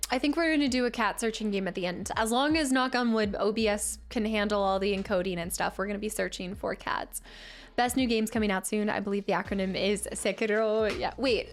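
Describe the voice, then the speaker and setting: A young woman streamer chatting casually with her viewers, laughing and teasing herself as she reads the chat aloud. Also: on the high side. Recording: faint music behind the voice.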